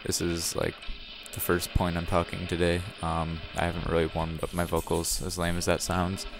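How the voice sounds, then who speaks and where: A young man with a slightly raspy voice, giving casual commentary over his own gameplay footage and often correcting himself as he talks. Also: deep voice, completely flat delivery, moderate pace. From Wisconsin, USA. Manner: rambling, self-correcting, chatty. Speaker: gaming channel host. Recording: music playing behind the voice.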